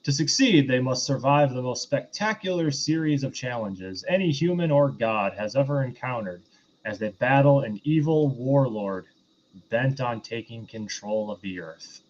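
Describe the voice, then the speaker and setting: A man hosting a casual film review discussion, speaking in a halting, hesitant way. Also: medium pitch, lively intonation, dull audio, a little hiss or hum. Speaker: podcast host.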